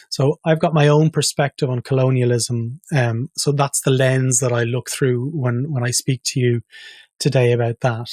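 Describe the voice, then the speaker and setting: A man's voice: mid-range voice, darkish tone, no room sound. An Irish man hosting a podcast interview, conversational, often giving short affirmations. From Ireland. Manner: conversational, frequent brief affirmations. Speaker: podcast host.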